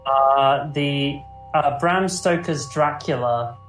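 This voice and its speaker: A man co-hosting a podcast, chatting with enthusiasm and quick asides, often restarting his sentences. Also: a little high, fairly bright tone, faint music behind. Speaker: podcast co-host. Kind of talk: casual podcast chat. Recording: roomy room sound.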